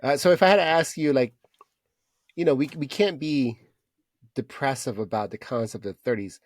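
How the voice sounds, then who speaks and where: A man's voice: mid-range voice, moderate pace, dry recording. A man in his thirties chatting casually as a podcast co-host, encouraging and repeating phrases for emphasis. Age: 30s. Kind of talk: casual chat.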